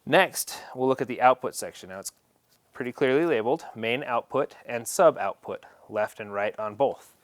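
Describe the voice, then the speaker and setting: A man's voice: mid-range voice, moderate pace, no room sound. A man presenting an instructional equipment setup tutorial, explaining each step in a plain, practical way.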